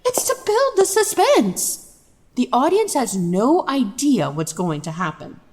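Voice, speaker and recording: Woman playing a high-voiced, animated drama director. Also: unhurried. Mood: encouraging, brisk. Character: encouraging, focused female high school drama director. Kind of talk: audio drama dialogue.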